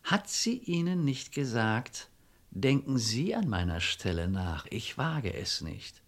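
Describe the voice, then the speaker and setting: An actor playing a gruff, exasperated, shrewd police commissioner in a 1970s radio play, speaking in an emphatic, lecturing manner. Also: male voice, mid-range voice, big pitch swings, takes it slowly, exaggerated voice. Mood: stern, deliberate.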